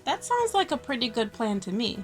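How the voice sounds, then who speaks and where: A woman's voice: a little high, sing-song, a little fast, faint music behind. A woman hosting a children's story time, reading a picture book expressively with friendly asides and stressed key words.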